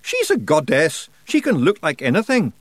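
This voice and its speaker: A man voicing a tiny, fierce pictsie warrior in a broad put-on Scots accent, gruff and bossy but cheerful. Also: fairly high pitch, wildly swooping pitch, normal speed, no echo, pitched above the performer's own voice.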